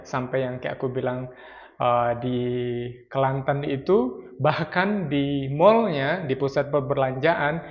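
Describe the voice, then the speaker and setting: A man's voice: mid-range voice, highs cut off. Chatty Batak-accented man, casual vlog. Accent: Batak-inflected Indonesian. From North Sumatra, Indonesia. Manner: chatty, mixes in English phrases. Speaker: travel vlogger. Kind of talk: casual travel chat.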